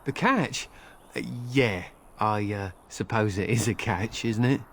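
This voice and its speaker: A man's voice: on the low side, measured pace, a bit bright, a little hiss or hum. An actor playing a hapless, nervous travelling merchant in a fantasy game, rambling and questioning himself as he talks. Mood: coaxing, sheepish. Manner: flustered, rambling, self-questioning. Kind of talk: video game dialogue acting.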